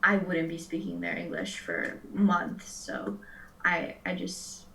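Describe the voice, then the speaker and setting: A woman's voice: on the low side, big pitch swings, takes it slowly, gravelly, fairly bright tone, slight background noise, low-quality recording. A young woman from Serbia answering interview questions casually, filling her speech with "like". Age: young adult. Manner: chatty, lots of "like" fillers.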